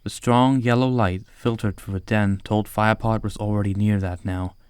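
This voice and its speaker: Guy narrating an animal story audiobook, lowish voice, dry close sound. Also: steady tone, moderate pace, darkish tone, clear, resonant tone, no room sound.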